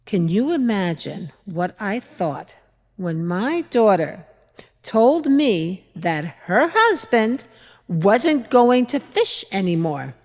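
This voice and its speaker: A woman playing a fretful, opinionated widowed mother-in-law from biblical times in a confiding, deliberate dramatic monologue. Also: a little high, a little mellow, full character voice. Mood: exasperated. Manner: confiding, storytelling, deliberate with rhetorical pauses. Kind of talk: dramatic monologue reading in church. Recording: echoey hall with long reverb.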